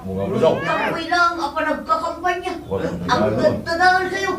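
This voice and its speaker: Woman playing fed-up rally-goer, piercingly high, Ilocano accent, radio comedy drama, distant, echoey. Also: takes it slowly, a crowd in the background. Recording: echoey, distant, music behind.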